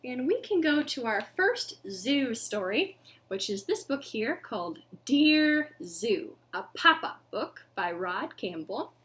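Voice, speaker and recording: A woman in her thirties hosting an interactive storytime for young children, with expressive, sing-song delivery and exaggerated reactions. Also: fairly high pitch, takes it slowly. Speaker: library storytime host. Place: living room at home.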